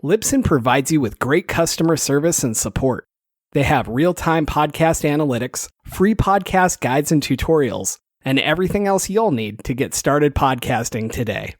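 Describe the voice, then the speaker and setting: A man with a fairly high voice co-hosts a podcast, reading sponsor spots and teasing his guests playfully. The recording is dry and close. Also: animated, normal speed, no echo. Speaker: podcast co-host. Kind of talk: casual podcast chat and ad reads.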